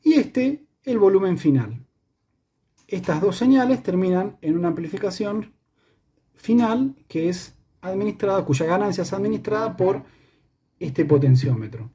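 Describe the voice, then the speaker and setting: A man's voice: expressive, a little slow, gravelly, warm tone, slightly rough. A man with an Argentine Spanish accent giving a methodical hands-on tutorial, repeating and rephrasing as he goes, his voice run through a voice changer. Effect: live voice changer, shifting in pitch and robotic tube-like tone. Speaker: electronics maker giving a demonstration.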